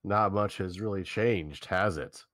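A hesitant teenage boy in a visual novel, read by a man. He speaks slowly in a fairly low voice, feeling his way through each line. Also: fairly bright tone. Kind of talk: staged reading of a visual novel.

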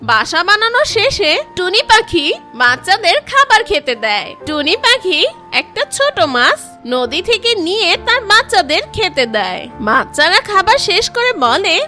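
A woman's voice: extremely high pitch, very animated, measured pace, over music. A woman narrating a scripted cartoon story for children.

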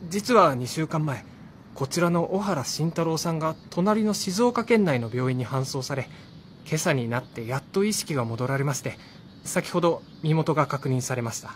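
An actor playing a polite, businesslike, reassuring police officer in a Japanese radio drama. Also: male voice, fairly high pitch, lively intonation, normal speed, slight background noise, lightly acted.

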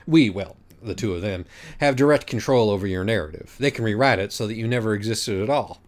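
A man's voice: fairly low pitch, a little fast, lightly acted. A man voicing a grave, pompous judge of souls, speaking formally and rhetorically in an audiobook. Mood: solemn. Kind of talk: mock-classical dialogue in an audiobook.

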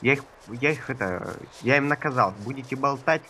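A man's voice: mid-range voice, a little fast, bright, forceful tone, slight background noise. A slightly ill man chatting casually with teammates during a group gaming session.

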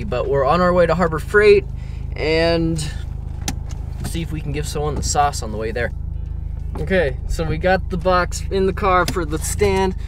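A young man in his twenties talking casually and excitedly to a handheld camera in his garage and car. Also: a little high, noisy background. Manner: excited, rambling, jokey. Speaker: car vlogger. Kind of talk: casual vlog chat. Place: garage, driveway and inside a car. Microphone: handheld camera at arm's length.

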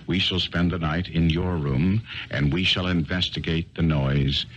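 An actor playing a shrewd, self-assured detective in a 1970s radio drama, speaking with precise, deliberate authority. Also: male voice, sits low, steady tone. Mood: commanding, instructing. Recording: muffled, noisy old radio broadcast.

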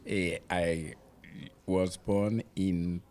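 A man in his seventies from Haiti, speaking slowly and hesitantly into a handheld mic held for him. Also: low voice, fairly even intonation, darkish tone. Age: 70s. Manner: slow, hesitant. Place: indoor community room. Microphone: handheld mic held by another.

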